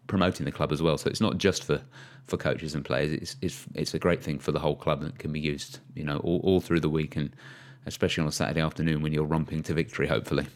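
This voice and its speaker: A man hosting a cricket podcast, talking casually with plenty of fillers and restarts. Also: rumbling low. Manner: relaxed, hesitant with fillers and restarts. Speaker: podcast host. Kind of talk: casual chat.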